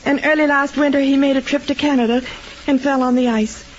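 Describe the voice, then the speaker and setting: A woman's voice: sits high, fairly even intonation, fairly quick, natural voice. A woman playing an anxious, devoted wife in melodramatic old-time radio drama style. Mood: distraught. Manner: earnest, melodramatic. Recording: dull, noisy old radio recording.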